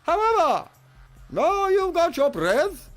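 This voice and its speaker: Fussy excitable old king, shrill and drawn out. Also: takes it slowly, faint music behind, heavily acted. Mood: fussy excited.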